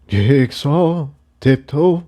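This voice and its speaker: Man acting a slow, weary old pony elder. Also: on the high side, animated, dark tone, pitched above the performer's own voice. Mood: grave, commanding. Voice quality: quavering. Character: elderly male pony ruler, weary and grave.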